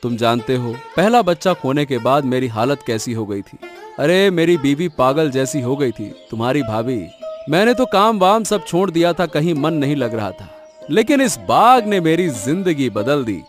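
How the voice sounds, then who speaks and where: A high-pitched, troubled elder brother from a village orchard, played by a male voice actor. He speaks dramatically and emphatically, as in a moral cartoon story, with faint music behind him. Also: sing-song, normal speed. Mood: reflective, relieved.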